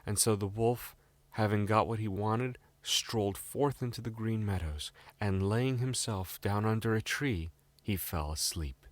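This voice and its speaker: A man's voice: fairly low pitch, a little slow, no room sound. A man with a British accent reading a scripted fairy tale as a storyteller.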